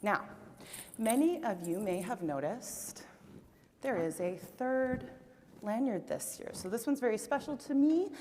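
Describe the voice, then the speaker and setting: A woman's voice: on the high side, animated, unhurried, dark tone, slight background noise. A woman hosting on a conference stage, giving friendly announcements with light laughs. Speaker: event host. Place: large conference hall. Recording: long hall reverb.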